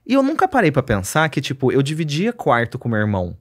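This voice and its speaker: A man's voice: mid-range voice, huge pitch swings, fast. A man with a mild regional Brazilian accent, chatting and telling stories casually as a podcast guest, often repeating his phrases. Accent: Brazilian, mild Cuiabá. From Cuiabá, Mato Grosso, Brazil. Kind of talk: casual chat, personal storytelling. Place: podcast studio, indoors. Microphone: desk broadcast microphone up close.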